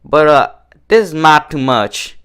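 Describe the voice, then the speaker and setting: An earnest country pony gal from a cartoon, played by a man. She talks folksy and plain in an American country accent, with lively intonation. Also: on the high side, measured pace, clear, resonant tone, voice pushed up. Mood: hesitant.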